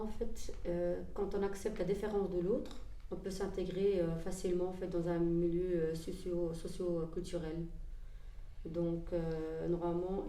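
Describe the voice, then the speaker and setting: A woman with a low, gravelly, dark voice talks hesitantly, filling pauses as she thinks. She sounds some way off the mic in an echoey room with faint noise behind. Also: distant mic. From Morocco. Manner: hesitant, many fillers. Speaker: team member. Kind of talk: casual group discussion.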